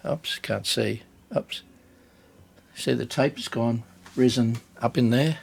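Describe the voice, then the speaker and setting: A man giving a casual, unscripted hands-on demonstration as a hobbyist video host, hesitant and halting as he thinks aloud. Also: mid-range voice, creaky, a little mellow, slight background noise.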